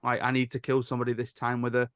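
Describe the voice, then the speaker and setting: Man, podcast host, medium pitch, casual opinionated chat, dry recording. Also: completely flat delivery, very fast, smooth, dry recording.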